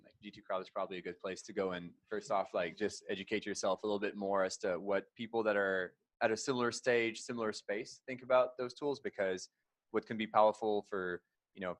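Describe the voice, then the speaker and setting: A man's voice: fairly low pitch, fairly bright tone, no room sound. A man speaking as a panelist, explaining his advice in a conversational professional discussion. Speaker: marketing panelist.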